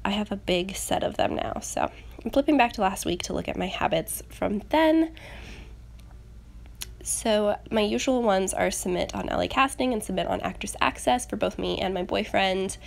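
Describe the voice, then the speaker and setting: A young woman, slightly raspy as she gets over a cold, chattily narrating a craft video in a casual, self-deprecating way. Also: medium pitch, lively intonation, moderate pace. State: getting over a rough cold. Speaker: planner channel host.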